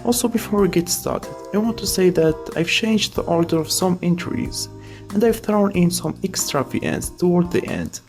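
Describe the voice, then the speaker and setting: Higher-voiced man narrating, slightly creaky. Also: very animated, moderate pace, darkish tone, soft background music. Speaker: video host and narrator. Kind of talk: scripted video essay narration with casual asides.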